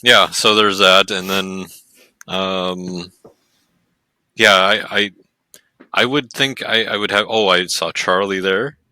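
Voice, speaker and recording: A man co-hosting a podcast in casual chat, talking in a halting, hesitant way. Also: low-pitched, fairly even intonation, sharp tone, no echo. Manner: halting, hesitant, many fillers and restarts. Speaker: podcast co-host.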